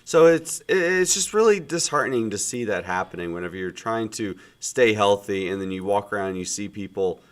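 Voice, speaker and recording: A man's voice: lowish voice, animated, a little fast, hardly any pauses. Man, 30s, game streamer, sick with a cold, muted voice, rambling casual chat. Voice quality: loud projecting voice, subdued today. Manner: rambling, many fillers and restarts. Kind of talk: casual chat while gaming.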